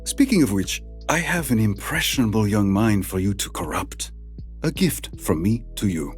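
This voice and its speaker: Male actor as scheming game charmer, flattering, lowish and very melodic delivery. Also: normal speed, faint music behind, close to an everyday voice. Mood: sly, flattering. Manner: smooth-talking, flattering.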